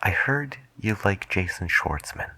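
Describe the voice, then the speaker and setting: A man acts as a late-night radio DJ with a very deep, slow voice, recorded close and dry. He is soft-spoken and musing, in a hushed ASMR roleplay manner. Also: very animated, no room sound, full character voice. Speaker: radio host.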